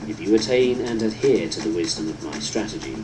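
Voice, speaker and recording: A man reading a book aloud as scripted narration, in a solemn, deliberate, instructive manner. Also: low-pitched, expressive, low-quality recording. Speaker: audiobook narrator. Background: prominent instrumental music.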